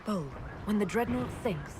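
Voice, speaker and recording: A woman's voice: medium pitch, animated, normal speed, heavy background noise, close to an everyday voice. An actress playing a female elf mage leader, firm, caring and direct, in a video game cutscene. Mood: businesslike. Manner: direct, earnest.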